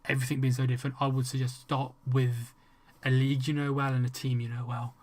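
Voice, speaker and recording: A man's voice: mid-range voice, level tone, quick pace, a little mellow. A man with an English accent chatting casually with viewers during a game livestream, rambling, restarting sentences and swearing freely. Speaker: streamer.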